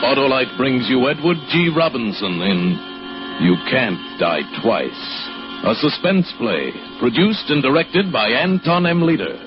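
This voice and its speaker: A man's voice: mid-range voice, sing-song, measured pace. Dramatic, swooping male radio announcer. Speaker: program announcer. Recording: muffled old radio, loud music behind.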